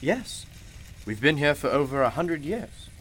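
A man's voice: a little high, big pitch swings, a little slow, fairly bright tone, slight background noise. A man playing a genial, mysterious old-fashioned shopkeeper in a radio play, with formal, courtly and unflappable phrasing. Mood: courteous.

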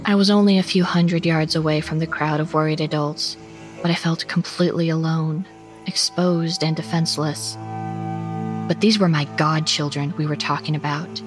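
A woman playing an anxious suburban narrator, telling a horror story in a measured, dramatic first-person style over music. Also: low voice, lightly acted. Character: anxious suburban woman recounting a frightening Christmas night. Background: background music.

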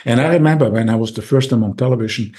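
A man's voice: medium pitch, racing, darkish tone. Man with an Austrian accent. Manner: eager, talkative, with many restarts and fillers. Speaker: podcast guest. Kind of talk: casual interview talk.

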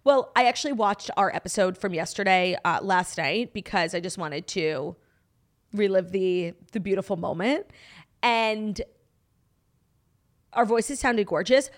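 Brief: young woman, New York accent, casual animated podcast co-host, mid-pitched voice. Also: a little slow. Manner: animated, swearing, heavy use of "like". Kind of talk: casual chat.

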